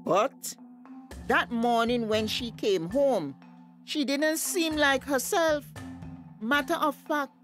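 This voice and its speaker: High-voiced, stern Tobagonian village mother. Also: slow pace, faint music behind. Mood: guarded. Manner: scolding, indignant, emphatic. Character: stern, suspicious village mother of a grown daughter. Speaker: actor in radio drama. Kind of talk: radio drama acting.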